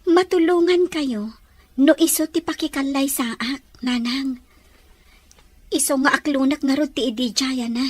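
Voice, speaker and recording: A woman's voice: high voice, unhurried, no echo. A woman playing an ambitious, poor teenage Filipina with an Ilocano accent who dreams of a better life, in an audio drama. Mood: happy, hopeful. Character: ambitious poor young Filipina, sixteen, dreaming of marrying a foreigner.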